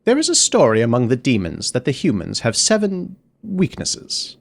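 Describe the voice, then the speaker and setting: A man narrating a dark fairy tale in the first person, with expressive, dramatic storytelling. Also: medium pitch, moderate pace, gravelly. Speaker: audiobook narrator.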